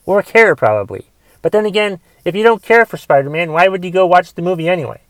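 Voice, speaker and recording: A man with a raspy voice from a cough, rambling casually and with some swearing as he reviews a movie. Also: high-pitched, animated, fast talker, fairly bright tone, dry recording, low-quality recording. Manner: rambling, profane, wondering aloud.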